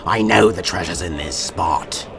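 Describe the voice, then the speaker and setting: A man playing a gruff, determined treasure hunter in an audio drama, speaking deliberately. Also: deep voice, background noise. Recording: loud music over the voice.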